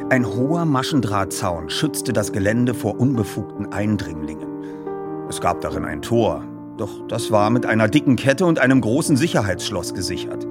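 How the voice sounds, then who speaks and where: A man hosting a feature and reading a story aloud as an expressive narrator. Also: lowish voice, moderate pace, loud music behind the voice. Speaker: host and narrator. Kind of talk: podcast introduction and audiobook reading.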